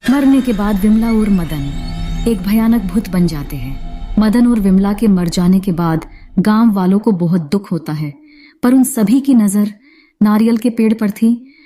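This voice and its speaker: A woman's voice: medium pitch, expressive, a little slow, warm tone, faint music behind. A woman narrating a scripted horror story as its storyteller.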